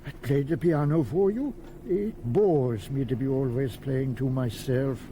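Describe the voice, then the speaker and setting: An actor playing a suave, coaxing British gentleman employer in a radio drama. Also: mid-range voice, unhurried, a little hiss or hum, lightly acted. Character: smooth-talking, lonely gentleman employer courting his secretary.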